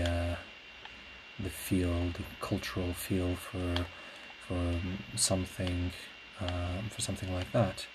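A man whose native language is Portuguese, speaking in a casual solo podcast ramble, hesitant and reflective as he searches for words. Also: sits low. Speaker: podcast host; classical singer. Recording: clear steady background noise.

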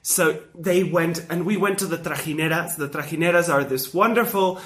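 A man's voice: a little high, moderate pace. A man, co-hosting a casual chat show and telling stories with lots of acted-out voices. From Mexico. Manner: animated storyteller, acts out quoted voices. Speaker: podcast co-host.

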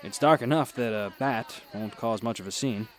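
An actor playing a kindly, unflappable gentleman traveller, polite and eloquent, in a radio drama. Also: mid-range voice, normal speed, natural voice. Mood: wry, brisk.

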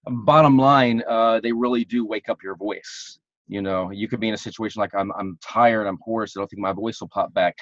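A man's voice: on the low side, fairly even intonation, normal speed, fairly bright tone, no room sound, dull audio. A man in casual podcast chat, coaching singers with eager, repetitive phrasing. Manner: enthusiastic, repeats words while thinking. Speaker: vocal coach, podcast co-host.

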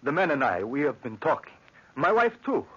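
Meek workman with lively, husky voice. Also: a little high, a little fast, heavily acted. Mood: hesitant, uneasy. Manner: hesitant, deferential, excitable. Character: meek, uneasy Italian workman, well-meaning and superstitious. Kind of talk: 1940s radio drama acting. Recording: muffled 1940s radio recording.

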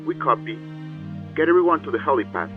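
An actor playing a coast guard operator heard over a husky, tinny radio, speaking in brisk, clipped lines. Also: male voice, a little high, sing-song, over music.

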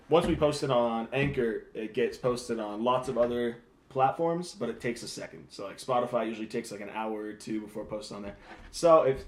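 A young man chats loosely as a podcast co-host, wandering through explanations and filling gaps with "um" and "like." He sits at a middle pitch, and the room around him rings with echo. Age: young adult. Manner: rambling, loose explanations with many fillers. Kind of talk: casual podcast chat.

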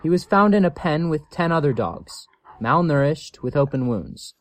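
A man's voice: a little high, lively intonation, normal speed, no room sound, close to an everyday voice. A man playing a caring, cautionary animal shelter worker in a scripted audio story, earnest and explanatory. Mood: grave, explanatory.

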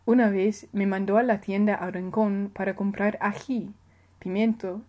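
Mellow-voiced woman reading her essay. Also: medium pitch, steady tone. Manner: careful, measured reading with small self-corrections. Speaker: writer. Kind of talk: reading her own personal essay aloud.

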